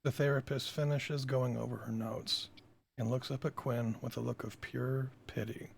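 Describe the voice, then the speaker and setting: Gravelly, husky man reading a screenplay. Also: mid-range voice, level tone, moderate pace. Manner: self-deprecating, informal. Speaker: writer-director reading stage directions. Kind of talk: screenplay table read narration.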